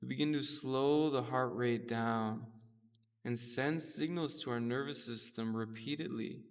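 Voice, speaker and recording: Guy doing guided meditation, smooth clear voice, soothing, kinda far from the mic in a reverby space. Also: mid-range voice. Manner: gentle, soothing, unhurried instruction.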